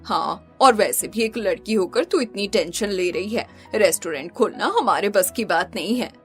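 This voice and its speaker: A woman's voice: medium pitch, moderate pace, faint music behind, voice pushed down, close to an everyday voice. Woman playing a nagging, fretful mother. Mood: urging.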